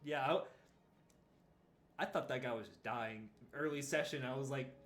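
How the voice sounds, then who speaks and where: A man's voice: mid-range voice, moderate pace, heavy background noise. A man running a tabletop game, chatting casually with his players after the session, laughing often and trailing off mid-sentence. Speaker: game master. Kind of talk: casual post-session chat.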